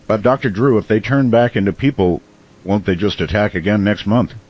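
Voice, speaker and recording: A man plays a small-town radio host broadcasting through disaster. His voice is fairly low, earnest and concerned, in a slightly dull radio-drama recording with rain behind. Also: fairly even intonation, a little fast, natural voice.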